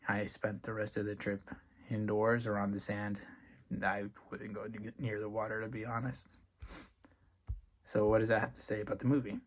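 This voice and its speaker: A young man giving a class lecture, talking casually with dry joking asides, his voice a little rough from being sick. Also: lowish voice, level tone, normal speed. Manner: dry jokey asides, plain delivery. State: voice recovering from strep, a little rough. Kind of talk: casual recorded class presentation.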